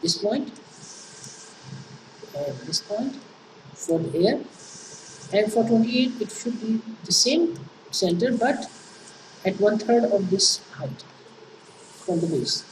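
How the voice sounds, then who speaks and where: A woman's voice: medium pitch, animated. A woman with a Pakistani accent, a native Urdu speaker, giving an engineering lecture and walking through worked problems with frequent fillers and restarts. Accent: Pakistani English. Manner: halting, many fillers and restarts. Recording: echoey room, distant mic, noisy.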